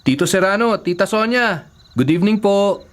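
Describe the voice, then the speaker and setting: A man's voice: high voice, animated, normal speed, background noise. A man playing a young adult nephew in a Filipino radio drama, politely deferential but privately exasperated. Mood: polite, uneasy. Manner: deferential aloud, sardonic in asides.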